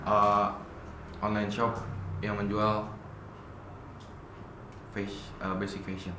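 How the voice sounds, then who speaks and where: A young man giving short, halting answers in a casual interview. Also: on the low side, monotone. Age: young adult. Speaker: interviewee, student entrepreneur. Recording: echoey room, noisy background.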